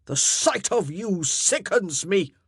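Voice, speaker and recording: A woman voicing a fierce, grieving king in his forties who speaks loudly and commandingly. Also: on the low side, expressive, unhurried, no room sound, studio quality. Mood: furious. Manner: loud, commanding and wrathful.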